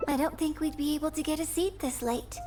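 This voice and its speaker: Poised young opera star, high-voiced woman acting. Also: mellow, close to an everyday voice. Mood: gracious, warm. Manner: polite, composed, measured. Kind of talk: video game voice acting. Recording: background music throughout.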